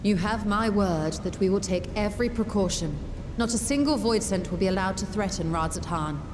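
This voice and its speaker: A woman's voice: medium pitch, moderate pace, noisy background, natural voice. A woman playing an eager, scholarly young adventurer with formal, articulate phrasing in a video game cutscene. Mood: earnest, businesslike.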